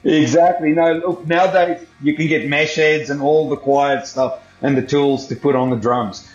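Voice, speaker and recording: A man from South Africa, a drummer, chatting as a guest on a video call interview in a relaxed, rambling way. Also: fairly high pitch, normal speed, soft background music. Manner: relaxed, rambling, many fillers. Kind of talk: casual interview chat. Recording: roomy, dull video-call audio.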